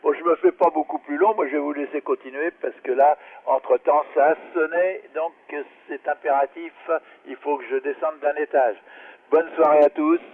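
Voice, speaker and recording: A male amateur radio operator chatting casually with his group over a transceiver link. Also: medium pitch. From France. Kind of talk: casual radio sign-off chat. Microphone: radio transceiver microphone. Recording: distant, narrowband ham radio transmission.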